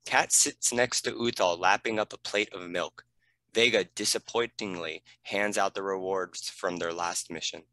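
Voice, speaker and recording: A man's voice: low voice, fairly even intonation, normal speed, sharp tone, dry recording, poor audio. A man reading scripted stage directions as a narrator.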